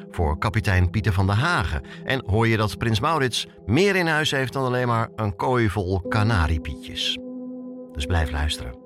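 Male podcast host, low and animated, informal direct narration over a music bed. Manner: addresses listener directly, informal.

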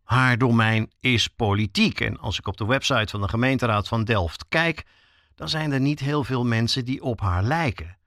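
A man's voice: medium pitch, moderate pace, a bit bright, no echo, clean studio sound. A man narrating a scripted documentary podcast as its reporter.

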